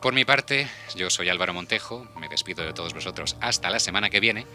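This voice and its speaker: A man's voice: low-pitched, fairly quick, bright, forceful tone. A man with an accent from Spain hosting a casual radio discussion in a studio. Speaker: radio show host. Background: music.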